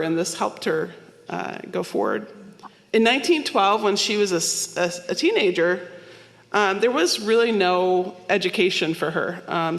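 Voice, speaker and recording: A woman's voice: mid-range voice, a bit bright. A woman minister giving a sermon, telling stories in a conversational way with small asides. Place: church sanctuary. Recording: long hall reverb.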